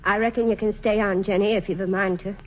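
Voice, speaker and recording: A woman's voice: medium pitch, fairly even intonation, quick pace, lightly acted. An actress playing a shrewd, plucky small-town mother in a radio play, speaking in a folksy, firm way. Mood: urgent, resolved. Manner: folksy, plain-spoken, firm. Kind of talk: 1940s radio play acting. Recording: muffled, noisy 1940s radio recording.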